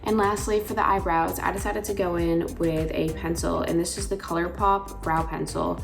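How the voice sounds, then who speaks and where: Raspy gravelly young woman doing a makeup tutorial, chatty, lots of likes and um's, soft music behind. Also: fairly low pitch, fairly even intonation, moderate pace. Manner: chatty, filler-heavy. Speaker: beauty vlogger.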